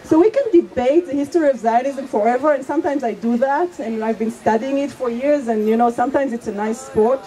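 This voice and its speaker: A woman's voice: high-pitched, fairly quick, few pauses, dark tone, low-quality recording. A woman from Israel, a Hebrew speaker, speaking earnestly and emphatically as an activist in an outdoor street debate. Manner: earnest, emphatic, repeats points for weight. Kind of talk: impassioned street debate speech.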